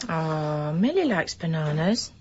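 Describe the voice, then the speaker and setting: A woman's voice: low voice, very animated, slow pace, background noise, heavily acted. An actress playing a baby-absorbed young wife and mother in radio comedy dialogue, earnest and conversational. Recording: muffled audio with music.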